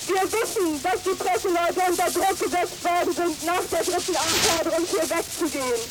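High-voiced woman urging a crowd. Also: normal speed. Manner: urgent, emphatic appeals. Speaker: protest speaker at a sit-in. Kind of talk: addressing a crowd over a loudspeaker. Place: outdoors at a protest site. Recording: noisy 1980 outdoor archive recording.

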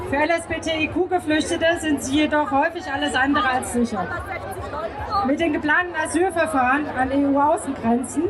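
A woman reading out a prepared public speech in a measured, formal manner at a noisy outdoor event. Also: high-pitched. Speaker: speaker for a queer refugee support group.

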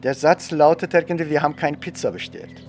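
A man recounting a personal experience in an interview, halting and repeating phrases as he goes. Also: fairly high pitch, darkish tone, slight background noise. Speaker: education expert and trainer.

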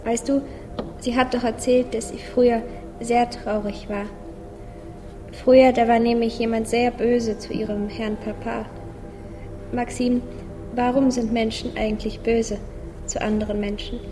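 A woman's voice: fairly high pitch, level tone, unhurried, pauses often, lightly acted. A woman playing a naive, sad little boy musing simply in a radio play. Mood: curious. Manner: simple childlike musing, repeating phrases. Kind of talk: radio play inner monologue. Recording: long reverb, music clearly behind.

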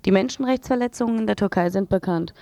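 A woman's voice: mid-range voice, fast, vocal fry, dark tone, no echo. A woman radio presenter reading news and programme announcements in an earnest, factual manner.

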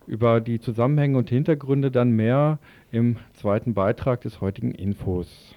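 A man's voice: medium pitch, moderate pace, dry recording, muffled recording. A man reading news summaries as a radio presenter.

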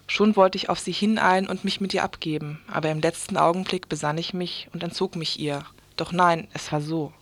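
A woman's voice: lowish voice, steady tone, a little fast, few pauses. A woman reading a first-person short story aloud.

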